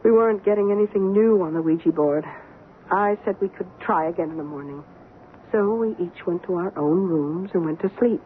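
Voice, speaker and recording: A woman's voice: fairly low pitch, a little fast, natural voice. An actress playing a sensible woman who recounts her housemate's eerie obsession in an earnest, suspenseful radio drama style. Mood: suspenseful recounting. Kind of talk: old-time radio drama acting. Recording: noisy, narrow-band old radio recording.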